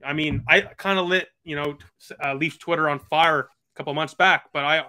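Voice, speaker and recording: Bright young guy on a call. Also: fairly high pitch, steady tone, a little fast. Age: about 20. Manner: earnest, chatty, lots of fillers. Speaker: podcast guest, blogger. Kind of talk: casual video call chat.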